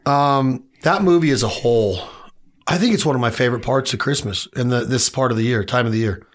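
A man in his late forties talking casually as a podcast co-host. His voice sits mid-range and jumps up in pitch when he gets excited about a point. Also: a little fast. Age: 40s. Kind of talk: casual chat.

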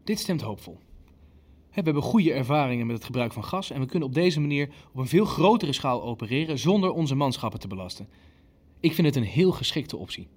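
Ruthless, insistent Nazi official. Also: male voice, mid-range voice, lively intonation, a little fast, darkish tone, breathy edge. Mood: measured, satisfied. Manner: insistent, coldly matter-of-fact. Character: ruthless, impatient Nazi official pressing for efficient killing. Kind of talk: dramatic dialogue in a radio play.

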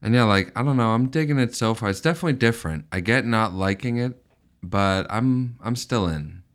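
A man's voice: medium pitch, lively intonation, a little fast. A man co-hosting a podcast, chatting in a casual, slangy way. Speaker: podcast co-host.

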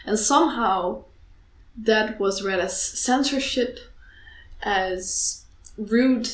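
A young woman talking candidly and passionately about her activism, halting and restarting as she speaks. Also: medium pitch. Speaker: student activist. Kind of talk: candid conversation. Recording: echoey room.

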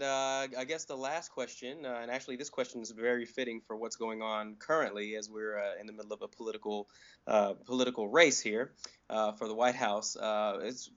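A man with a medium-pitched voice works as a casual talk show co-host. He speaks conversationally as he handles listener questions. The recording sounds somewhat dull and lo-fi. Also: fairly bright tone. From Germany. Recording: dull, lo-fi audio.

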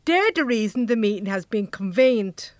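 A woman's voice: medium pitch, lively intonation, normal speed, dry recording, natural voice. A woman acting the bossy, no-nonsense leader of a secret band in an Irish comedy audio drama, colloquial, with a Dublin accent. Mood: bossy, jovial.

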